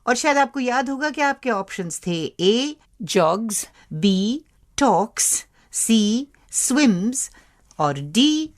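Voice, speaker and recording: A woman co-hosting a radio program, reading out a quiz question and answers in a lively, playful way. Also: a little high, slow pace. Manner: lively, playful teasing of co-host. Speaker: radio show co-host. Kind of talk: scripted radio quiz segment.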